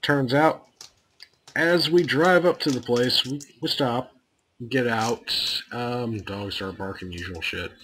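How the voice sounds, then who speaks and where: Male casual storyteller on a voice call, average pitch, crude joking delivery, swears freely. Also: slow, fairly bright tone, a little hiss or hum. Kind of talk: casual anecdote telling. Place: online voice call.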